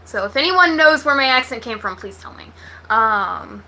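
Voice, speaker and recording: An American woman talks casually to her livestream viewers. Her voice sits fairly high and is extremely bright and sharp. She is blunt and slangy, and she swears freely as she chats. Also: lively intonation, moderate pace, low-quality recording. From Texas, United States. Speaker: livestream host.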